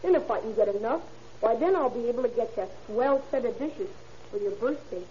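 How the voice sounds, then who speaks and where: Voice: mid-range voice, animated, fairly quick, mellow, natural voice. An actor playing an earnest, eager schoolboy son in an old-time radio drama, with boyish folksy slang. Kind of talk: 1940s radio acting. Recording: muffled, hissy old radio recording.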